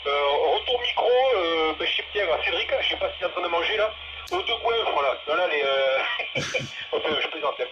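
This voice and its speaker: A man's voice: a little high, lively intonation, brisk. A man in a casual, slangy chat as an amateur radio operator, speaking through a two-way radio. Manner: informal, slangy. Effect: two-way radio transmission. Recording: noisy, muffled two-way radio audio.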